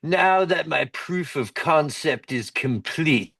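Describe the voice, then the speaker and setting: A man playing a grandiose mad scientist bent on world domination in an amateur comedy sketch, declaiming his plans deliberately. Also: on the high side, expressive, creaky, fairly bright tone, voice pushed up, heavily acted. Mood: grandiose, scheming. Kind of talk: amateur radio comedy sketch read-through. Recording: roomy echo, distant microphone.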